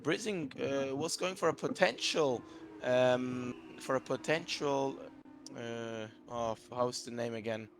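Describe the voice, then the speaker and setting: A man's voice: medium pitch, takes it slowly, a little hiss or hum. Hesitant race co-commentator, man. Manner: hesitant, many uh fillers, searches for words. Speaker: sim racing co-commentator.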